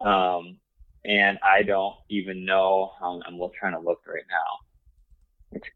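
A man's voice: low-pitched, monotone, takes it slowly, dry recording, narrow, tinny audio. A man guesting on a hunting podcast, talking in an easygoing, hesitant way with lots of ums and likes. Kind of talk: casual podcast conversation.

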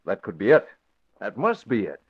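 A deep-voiced young publisher. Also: male voice, huge pitch swings, moderate pace, husky, no room sound, full character voice. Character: young male publisher, cool and shrewd. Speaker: newspaper publisher. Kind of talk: 1940s radio acting. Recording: thin, dry, phone-like old radio recording.

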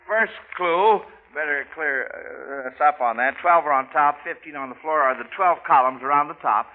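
A man's voice: a little high, moderate pace. An American man hosting an old live radio quiz, chatty and a little hesitant, repeating answers back. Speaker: quiz show host. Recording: dull, noisy vintage radio recording.

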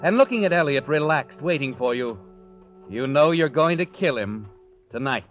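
A muffled vintage radio recording with clear music under it. An actor plays a male suspense narrator, knowing and sinister, slipping insinuations to the listener in a slightly raised pitch. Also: lively intonation, moderate pace, lightly acted. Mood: knowing, ominous. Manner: insinuating second-person address.

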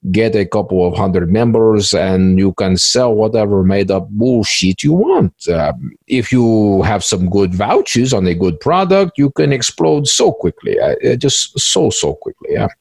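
A man voicing a smug, cynical veteran cybercriminal in an interview skit, with halting, repetitive, stilted phrasing. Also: lowish voice, very animated, vocal fry, darkish tone, breathy edge, no echo, heavily acted. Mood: scornful, emphatic. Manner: halting, repetitive, stilted grammar with drawn-out hesitations.

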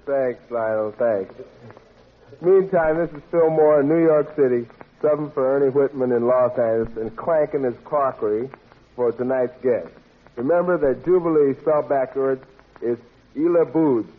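A man's voice: medium pitch, normal speed. A man hosting a 1940s radio show with playful hipster slang and mock-fancy jive patter. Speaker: substitute radio show host. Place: radio studio. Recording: noisy narrow-band old radio recording.

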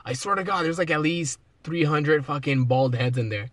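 A man's voice: a little high, normal speed, a bit bright, no echo. A man, a comedian guest on a podcast, chatting in slangy talk full of fillers. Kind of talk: casual chat.

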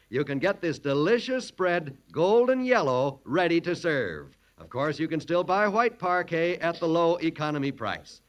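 Lively 1940s radio announcer man. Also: fairly high pitch, lo-fi sound. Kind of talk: scripted radio announcing and ad read.

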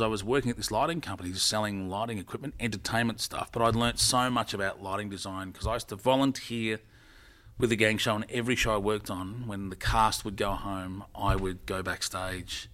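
A man's voice: on the low side, brisk, a bit bright. A middle-aged man, telling his career story as an interview guest in a rambling, self-correcting way. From Australia. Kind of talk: casual interview talk.

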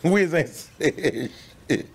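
A man's voice: a little high, lively intonation, very slow, creaky, husky. A forty-year-old man hosting a casual interview with slangy, teasing banter. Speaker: podcast host.

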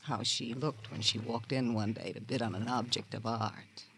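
An actor playing a jilted, sardonic auction-shop worker in an American radio crime drama, with a theatrical, world-weary drawl and an American accent. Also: male voice, on the low side, fairly even intonation, moderate pace, creaky, a bit bright. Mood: wounded. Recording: noisy old radio drama recording.

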